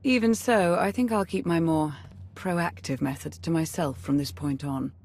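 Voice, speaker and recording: Dry-witted, formal, shadowy aide. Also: female voice, deep voice, moderate pace, a little mellow, slight background noise. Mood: wry. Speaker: video game character. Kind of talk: scripted cutscene acting.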